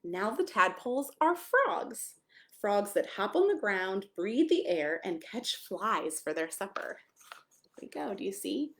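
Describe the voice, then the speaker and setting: A woman's voice: mid-range voice, sing-song, a little slow. An American woman reading a picture book to young children, adding chatty asides and simple questions for her listeners. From United States (Maryland). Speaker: pastor reading a children's story. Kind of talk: children's storytime read-aloud with chatty asides.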